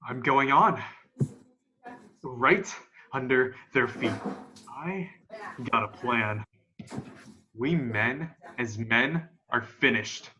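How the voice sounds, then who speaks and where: A young man playing a grim, defiant militia survivor of an alien invasion in an amateur radio play, with a folksy, halting, emphatic delivery. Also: mid-range voice, sharp tone, a little hiss or hum. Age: young adult. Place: room at home. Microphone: video call. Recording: dull, lo-fi video call.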